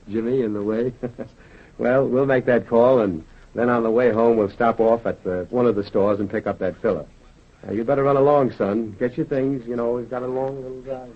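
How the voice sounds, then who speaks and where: An actor playing a genial middle-aged small-town doctor and father in a 1930s radio drama, kindly and measured. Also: medium pitch, fairly even intonation, natural voice. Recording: muffled, hissy 1930s radio recording.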